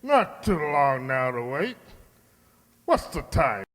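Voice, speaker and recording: A man's voice: a little high, very animated, takes it slowly, fairly bright tone, exaggerated voice. A man playing a cold, scheming crime boss in a radio drama, pompous, menacing and imperious. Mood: smug, menacing. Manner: pompous, menacing, theatrical delivery. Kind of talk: old-time radio drama acting. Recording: long hollow reverb.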